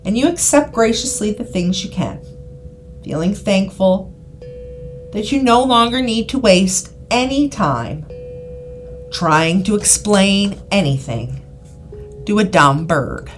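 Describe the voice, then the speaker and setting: A woman reading a scripted guided hypnosis session in a soothing, deliberate manner, with repeated phrases and pauses. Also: medium pitch, lively intonation. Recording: voice over background music. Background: background music.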